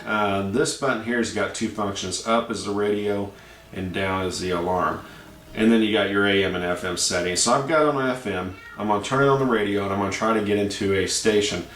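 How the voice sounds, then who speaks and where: A man giving a casual, plain-spoken product review, explaining features step by step with hesitant pauses. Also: fairly low pitch, fairly bright tone. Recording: roomy echo, distant mic, faint noise.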